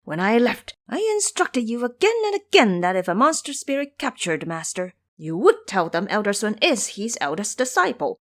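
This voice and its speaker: A woman's voice: a little high, very animated, normal speed, hardly any pauses. A woman voicing a cocky, hot-tempered monkey king in an audio drama, imperious, taunting and scolding. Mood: angry.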